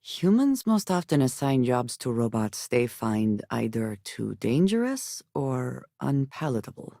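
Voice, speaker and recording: A guarded woman space explorer from a video game. Her speech is formal, serious and measured, with few contractions. The recording is close and very dry. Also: rumbling low, very animated, gravelly, dark tone, no room sound, exaggerated voice. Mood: wary, reflective. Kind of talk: scripted video game companion dialogue.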